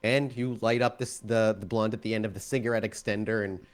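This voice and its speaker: A man's voice: fairly low pitch, fairly even intonation, fairly quick. A man working as game master, improvising descriptive, explanatory narration for a tabletop game.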